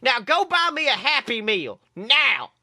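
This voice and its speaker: A man's voice: very high voice, big pitch swings, a little slow, sharp tone, no room sound, clean studio sound, voice pushed up, full character voice. A man voicing an evil nine-year-old boy psychic, petulant, vengeful and theatrically indignant, in a fan fiction reading. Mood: petulant disgust.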